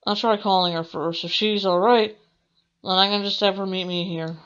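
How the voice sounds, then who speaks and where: A woman's voice: mid-range voice, steady tone, moderate pace, fairly bright tone, clear, resonant tone, dull audio. A young woman let's player reading visual novel text aloud, expressively and with the odd stumble. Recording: game music behind the voice. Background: video game music.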